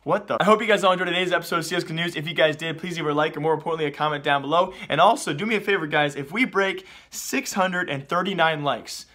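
Casting: male esports news host, twenties, slightly high voice, rapid-fire chatty delivery with excitable emphasis. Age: 20s. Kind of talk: esports news commentary to camera, YouTube delivery.

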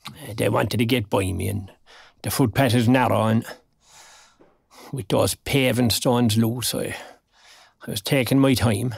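An older retired man, with a Cork accent and a husky, gravelly voice. He speaks mildly and a little hesitantly, with quiet dignity, in a naturalistic audio drama. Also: mid-range voice, fairly even intonation, measured pace, long pauses, no room sound, clean studio sound, heavily acted. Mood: hesitant, uneasy. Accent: Irish, County Cork.

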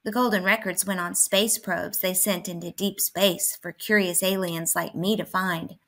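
A woman reading a children's picture book aloud in an expressive manner. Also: on the low side, normal speed. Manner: expressive, acting out dialogue. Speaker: storybook reader.

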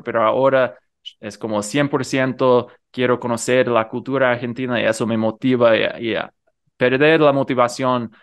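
A man's voice: mid-range voice, smooth. A man in his thirties from the United States, a native English speaker, with an American accent in learned Rioplatense Spanish, speaking earnestly as a video-call guest with many restarts. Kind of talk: conversational interview over video call. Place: home room. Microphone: headset with studio mic in front.

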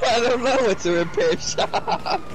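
A woman gamer in casual chat, laughing hard and growing hoarse from it. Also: on the high side, measured pace, background noise. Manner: laughing hard, giggly, complains of losing voice. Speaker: gamer playing a police patrol.

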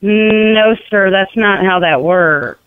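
A woman's voice: mid-range voice, slow pace, dry recording. A middle-aged or older woman on the telephone, talking in a firm, questioning and incredulous way. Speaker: prank call target, grandmother. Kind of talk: phone conversation. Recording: tinny dry phone line.

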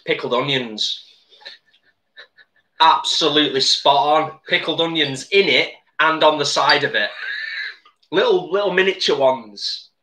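A man's voice: on the high side, unhurried, pauses often, pushed and bright, poor audio. A man chatting casually on a live cooking stream from his home kitchen, laughing often and reading out viewer comments.

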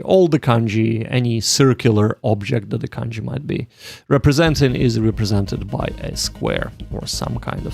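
Creaky-voiced man hosting a casual livestream. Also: medium pitch, a little mellow. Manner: halting, repeats himself, addresses viewers directly. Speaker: livestream host of a Japanese study session. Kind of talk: casual livestream chat and explaining.

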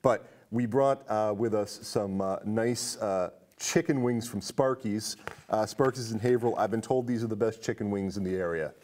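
A man's voice: lowish voice, normal speed, a little mellow. A man around his forties, hosting a casual film chat in an indoor studio. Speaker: talk show host. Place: indoor studio set with curtains.

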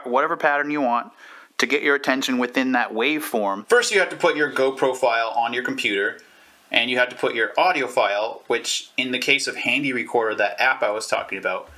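Bright-voiced man giving a casual tutorial. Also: mid-range voice. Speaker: YouTube tutorial presenter. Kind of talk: casual explanatory how-to talk. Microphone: clip-on lavalier or camera mic.

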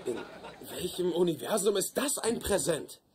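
An actor playing a pompous, self-certain genius in a dubbed sitcom, speaking precisely and formally. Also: male voice, sits high, lively intonation, moderate pace, darkish tone, husky, some chatter behind, full character voice. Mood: indignant lecturing. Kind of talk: German-dubbed sitcom acting.

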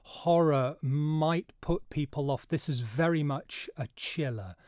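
Radio guest, man, enthusiastic and earnest, fairly high voice, close dry studio sound. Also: takes it slowly, a little mellow, no echo. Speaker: guest, writer and actor. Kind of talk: radio interview. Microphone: studio microphone.

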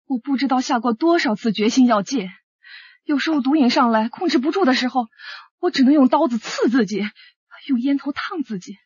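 Troubled female singer, radio drama: anguished, confiding, husky, dry dull sound. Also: high voice, dry recording, heavily acted. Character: anguished, uneasy female singer with drug addiction.

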